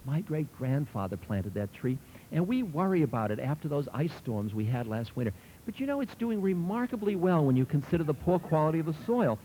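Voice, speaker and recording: A man's voice: a little high, very animated, a little fast, warm tone, a little hiss or hum, pitched above the performer's own voice, rough recording. A man voicing a tiny, cheerful old Japanese man in his seventies who talks in a delighted, chatty way.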